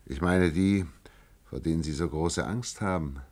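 An actor in a science-fiction radio play, playing a weary, disillusioned male visitor from another world, with a husky voice. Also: extremely low pitch, measured pace, a little mellow, heavily acted. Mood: weary, detached. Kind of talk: German science-fiction radio drama dialogue.

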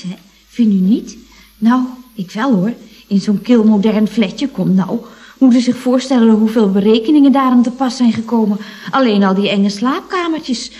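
Woman acting female secretary, radio comedy, lively intonation, gushing, breezy, teasing, mock-cosy. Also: on the high side, lightly acted.

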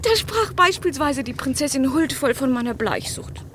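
A fairly high female voice plays a clever lady-in-waiting in a period radio drama. She is articulate and ironic, with a haughty, mocking edge. Clear noise runs behind her. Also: lively intonation, normal speed, a bit bright. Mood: mocking, amused. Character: witty, sharp-tongued lady-in-waiting at a royal court. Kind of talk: German radio drama acting, period piece.

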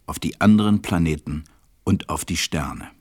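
An actor serving as the narrator of a radio play, reading a children's story in a measured, scripted way. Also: male voice, a bass voice, darkish tone, slightly rough. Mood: measured narration.

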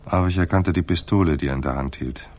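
Actor as deep-voiced, sardonic hard-boiled detective. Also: male voice, fast talker, a little mellow, close to an everyday voice. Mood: brooding narration. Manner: dry, wry, clipped. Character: world-weary, sardonic hard-boiled private detective, narrator. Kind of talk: radio crime drama acting and first-person narration.

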